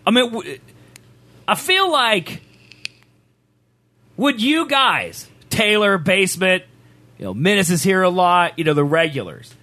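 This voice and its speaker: A man's voice: sits high, sing-song, measured pace, long pauses, pushed and bright. A man co-hosting a podcast, chatting casually and teasing his co-host in a joking way. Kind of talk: casual banter.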